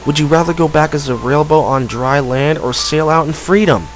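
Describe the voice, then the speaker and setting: A man's voice: a little high, normal speed, faint music behind, natural voice. A man playing an earnest, loyal, adventurous teenage boy sailor in an amateur audio drama. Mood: determined.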